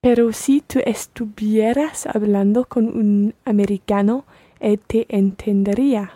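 A woman's voice: mid-range voice, steady tone, unhurried, dark tone, no room sound. Careful woman answering practice drills. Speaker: language learner.

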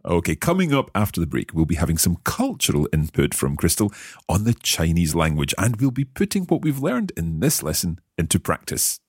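A man's voice: deep voice, wildly swooping pitch, breathy edge. Scottish man hosting a language lesson. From Scotland. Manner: upbeat, careful, repeats phrases slowly to practise. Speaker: language course host. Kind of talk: scripted podcast lesson.

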